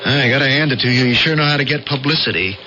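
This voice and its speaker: An actor playing a skeptical, exasperated friend in an old-time radio drama, casual and teasing. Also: male voice, medium pitch, fast talker, pushed and bright, close to an everyday voice. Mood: wry. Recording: dull, noisy old radio recording.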